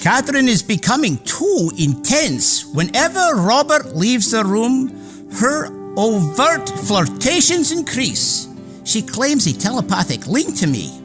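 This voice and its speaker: A courteous, proud inventor in a radio play. His delivery is formal and old-fashioned, swinging widely in pitch, with music playing behind him. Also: sing-song, slow, full character voice. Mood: uneasy. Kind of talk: radio theater drama acting.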